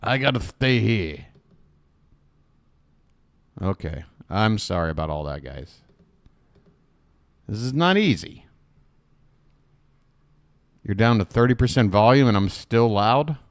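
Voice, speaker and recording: A man hosts a live stream with a booming, gravelly voice and a slight wet lisp. He rambles in casual, self-deprecating commentary, swearing now and then. The sound is dry and close on a desk microphone. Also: lively intonation, slow pace, long pauses, no echo.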